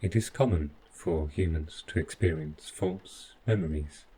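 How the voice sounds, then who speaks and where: A man playing an overprotective, stiffly polite robot butler in an audio roleplay, speaking in formal, deliberate phrases. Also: rumbling low, dark tone, a little hiss or hum. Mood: evasive, insistent. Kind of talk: scripted audio roleplay addressed to the listener.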